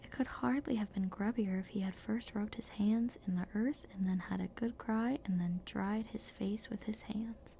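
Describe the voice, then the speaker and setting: Whispering woman storyteller, mellow, gravelly texture, soft and close. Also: medium pitch, fairly even intonation, moderate pace, hardly any pauses, slight background noise. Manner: soft and hushed.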